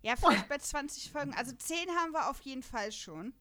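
Chatty woman podcast host, higher voice. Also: animated, a little fast, pushed and bright. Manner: chatty, laughs often while talking. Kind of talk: casual podcast chat about radio dramas.

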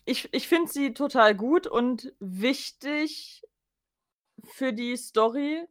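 A young woman, a guest on a casual fan podcast, talking in a calm, measured way. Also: sits high.

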